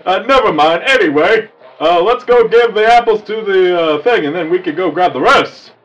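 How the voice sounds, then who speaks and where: Man voicing a high-pitched, good-natured cartoon wolf. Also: animated, moderate pace, fairly bright tone. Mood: easygoing. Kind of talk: improvised cartoon roleplay. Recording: slightly dull lo-fi mic, music behind.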